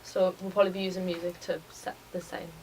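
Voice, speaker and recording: A woman's voice: on the low side, little pitch movement, fast, darkish tone. A young woman, a college student talking through plans in a casual, tentative class presentation. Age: young adult. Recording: distant mic, faint room noise.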